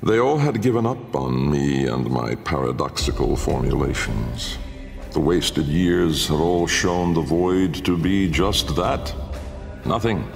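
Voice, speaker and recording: An actor playing a haunted, brooding older aristocratic inventor in a video game, delivering a grandiloquent, deliberate dramatic monologue in a gravelly voice. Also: male voice, extremely low pitch, lively intonation, exaggerated voice. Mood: bitter, reflective. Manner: grandiloquent, deliberate, pausing for weight. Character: brooding, haunted male aristocratic inventor recounting his memoir. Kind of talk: theatrical dramatic monologue narration. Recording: long cavernous reverb under music.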